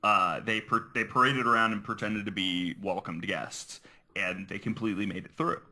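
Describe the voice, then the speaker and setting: A man's voice: on the low side, steady tone, normal speed, sharp tone. A man hosting a podcast, earnestly explaining researched material and sometimes stumbling over his words. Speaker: podcast host. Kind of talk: reading researched notes, explanatory podcast talk.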